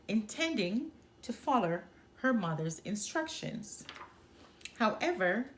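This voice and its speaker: A woman reading a children's story aloud as a storyteller, in an expressive narrating style. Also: mid-range voice, a little hiss or hum. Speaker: storyteller and channel host.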